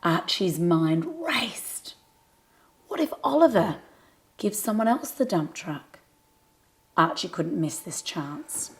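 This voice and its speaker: Woman, slightly low pitch, storyteller, scripted children's narration, expressive, addressing young listeners. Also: slow, pauses often.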